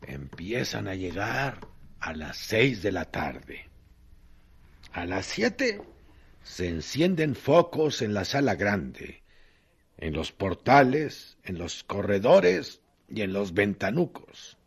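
A man narrating a radio drama in a solemn, deliberate literary style with dramatic pauses. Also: fairly low pitch, sing-song, gravelly, breathy edge.

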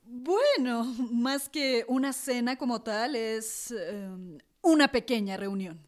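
A woman's voice: on the high side, expressive, slow. A woman acting in a radio drama as a young, cautious widow of a colonial family, polite and evasive in manner. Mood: evasive, nervous.

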